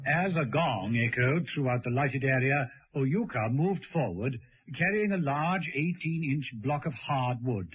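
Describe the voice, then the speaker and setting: Animated male narrator on tinny radio. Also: medium pitch, measured pace. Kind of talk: scripted radio drama narration. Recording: tinny, noisy old radio recording.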